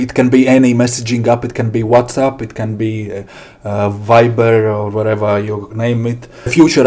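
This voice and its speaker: A middle-aged man from Latvia with a Latvian accent and non-native English, giving an informal product walkthrough with deliberate, hesitant phrasing. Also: mid-range voice, darkish tone. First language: not English. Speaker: software developer presenting his product. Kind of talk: informal screen demo walkthrough.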